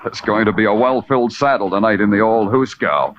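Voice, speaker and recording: Male actor as hard-boiled detective, low pitch, deadpan, sardonic, poetic, old radio noir, loud music behind. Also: a little fast, highs cut off, close to an everyday voice. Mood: menacing, sardonic. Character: wry, world-weary hard-boiled male Broadway police detective. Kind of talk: 1940s-50s radio noir acting and narration.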